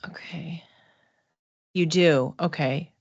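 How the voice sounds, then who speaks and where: Casting: woman, low register, psychic medium doing an off-the-cuff reading, hesitant delivery, slightly dull roomy recording. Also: fairly even intonation, vocal fry, breathy edge. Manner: tentative, halting, searching for words. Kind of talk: intuitive reading, spoken off the cuff to a live chat.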